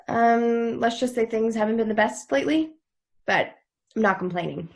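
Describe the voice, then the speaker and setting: A woman's voice: on the high side, unhurried. A woman reading the part of a bold, restless young woman, frank and sarcastic, in a TV drama table read. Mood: eager, persuasive.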